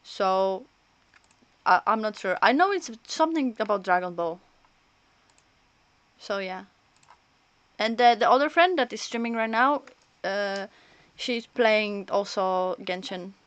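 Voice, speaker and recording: A woman's voice: mid-range voice, lively intonation, slow, long pauses, a bit bright, clean tone, dry recording, dull audio. A woman streaming a game, commenting casually in a chatty, rambling, hesitant way. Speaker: livestreamer.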